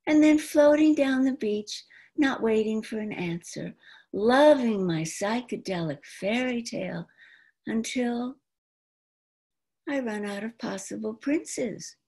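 An older woman of around seventy performing a dramatic, theatrical monologue in an expressive, deliberate manner. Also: a little high, husky. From New York, United States. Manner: expressive, deliberate, acted-out delivery. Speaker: stage actress performing an autobiographical monologue. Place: indoor room at home, before a folding screen. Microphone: computer video call microphone.

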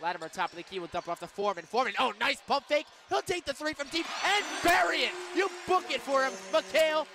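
High-pitched man calling live basketball on radio. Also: fast, creaky, hardly any pauses, background noise. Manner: excitable, shouts on big plays. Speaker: radio play-by-play announcer. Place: indoor college basketball arena.

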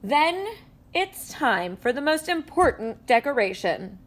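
Lively woman reading a picture book aloud. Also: sits high, slow. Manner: animated storytelling with sound effects. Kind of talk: expressive read-aloud. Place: indoors at a table with a book.

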